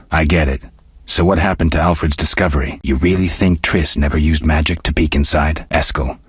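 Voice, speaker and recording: An actor playing a stoic, world-weary monster hunter in a video game, gravelly and terse with a deadpan restraint. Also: male voice, extremely low pitch, no echo, clean studio sound, exaggerated voice. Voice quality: gravelly, husky. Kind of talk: video game character dialogue.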